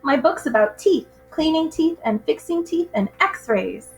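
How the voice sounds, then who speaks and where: A woman voicing an eager, excited young child in a storybook, exclaiming in wonder. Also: sits high, measured pace, soft background music, pitched above the performer's own voice. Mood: amazed, excited. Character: eager excited little children at a magic show.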